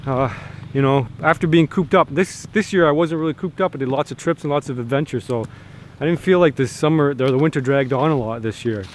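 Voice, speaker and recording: A man's voice: medium pitch, fairly quick, slight background noise. A man, chatting casually to a handheld camera outdoors in the woods, easygoing and full of fillers and restarts. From Canada. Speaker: homesteading vlogger. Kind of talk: casual outdoor vlog talk. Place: outdoors in snowy woods and fields. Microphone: handheld camera at arm's length.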